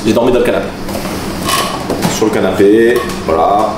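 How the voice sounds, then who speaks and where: A man's voice: fairly low pitch, moderate pace. A man in his forties from southern France, telling stories to the camera in a chatty way, laughing often. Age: 40s. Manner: chatty, laughing, animated asides. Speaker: vlogger, taekwondo master. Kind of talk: casual storytelling to camera. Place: room with a camera crew. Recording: roomy, mic at a distance, background noise.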